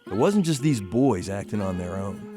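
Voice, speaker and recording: Low-voiced, shrewd old-time newspaperman. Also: big pitch swings, normal speed, over music. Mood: suspicious, reasoning. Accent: American. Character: shrewd, questioning male 1910s newspaperman. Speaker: actor in a radio drama. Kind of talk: radio drama acting.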